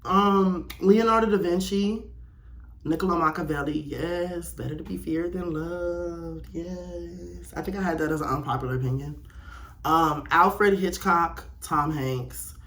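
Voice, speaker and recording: A man's voice: on the high side, a little slow, a bit bright. Young man, blunt casual commentary. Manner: blunt, opinionated, conversational with slang. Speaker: host of a personal video channel. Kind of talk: casual solo commentary, reading aloud and reacting.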